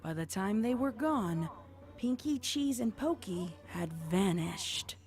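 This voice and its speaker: A woman narrates a scripted story. Her pitch sits in the middle range for women. There is faint music behind her voice. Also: lively intonation, takes it slowly, a little mellow.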